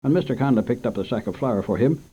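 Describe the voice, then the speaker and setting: A man reading a short story aloud in an expressive, unhurried way as the storyteller of a children's radio program. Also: medium pitch, husky. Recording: muffled old radio recording.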